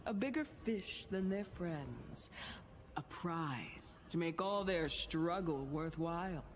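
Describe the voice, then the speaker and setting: A woman voicing a mysterious, wry storyteller in a fantasy game, narrating a fable in a deliberate, hushed way. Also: lowish voice, lively intonation, background noise.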